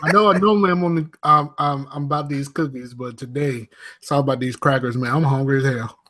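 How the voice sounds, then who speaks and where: A man co-hosting a casual podcast, joking and relaxed, talking in loose slang. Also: mid-range voice, fairly quick. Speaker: podcast co-host.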